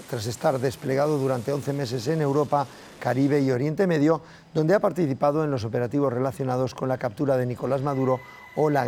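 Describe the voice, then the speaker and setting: Gravelly Castilian male news presenter. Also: medium pitch, darkish tone, a little hiss or hum. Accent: Castilian Spanish. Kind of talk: scripted news reading.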